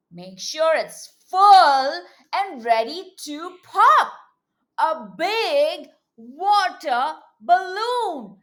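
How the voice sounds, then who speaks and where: Lady doing an animated read-aloud for little kids, really slow, big swoopy pitch, asks and repeats stuff like a teacher. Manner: animated, exclaiming, with coaxing questions and repetition. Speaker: children's storybook reader and teacher. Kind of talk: interactive read-aloud for young children.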